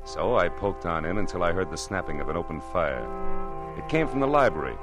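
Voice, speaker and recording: An actor playing a wry, world-weary private detective in punchy 1940s radio noir style. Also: male voice, deep voice, expressive, brisk, over music. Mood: wry, brooding.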